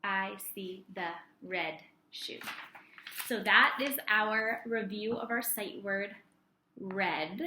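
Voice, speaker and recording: A woman teacher reading aloud to young children in a deliberate, sing-song way, asking questions and answering them herself. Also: medium pitch, sharp tone, echoey room, slight background noise. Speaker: early-grades teacher.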